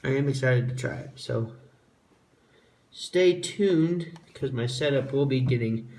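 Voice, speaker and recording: A man's voice: mid-range voice, a little slow, darkish tone. Folksy man over noisy background. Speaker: CB radio hobbyist vlogger. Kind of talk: casual handheld vlog talk. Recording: noisy hiss and radio clicks.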